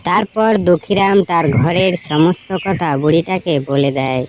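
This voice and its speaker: A woman's voice: lowish voice, normal speed, darkish tone, a little hiss or hum. A woman narrator reading a scripted story for a cartoon.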